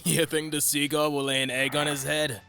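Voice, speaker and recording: A highish, bright young cartoon guy, played by a man, heard in a very echoey space. He is good-natured and easily flustered, with a bouncy delivery. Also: little pitch movement, normal speed, faint music behind. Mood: amused. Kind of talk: cartoon comic dub. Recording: echoey, reverberant room.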